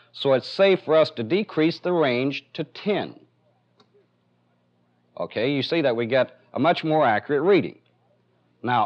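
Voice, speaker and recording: A man with an American accent giving a methodical, step-by-step technical demonstration as an instructor in a training film. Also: mid-range voice. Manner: methodical, plainly explanatory. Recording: dull, band-limited 1970s film soundtrack.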